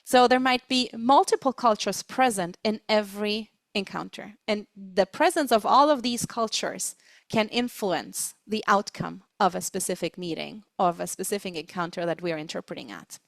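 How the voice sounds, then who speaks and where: Woman presenting, deliberate, dry close sound. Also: medium pitch, creaky, dry recording. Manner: deliberate, stresses key words. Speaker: webinar presenter, interpreting trainer. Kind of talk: explanatory webinar lecture.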